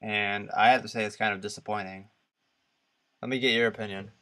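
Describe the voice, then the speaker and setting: Man in halting, casual gaming chat. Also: fairly low pitch, fairly even intonation, pushed and bright. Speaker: podcast co-host.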